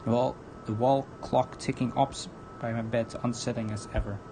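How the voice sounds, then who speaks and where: A man narrating a visual novel aloud on a livestream, dramatic but halting, stumbling over the written words. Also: steady tone. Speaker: streamer, narrator. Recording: noisy room with low rumble.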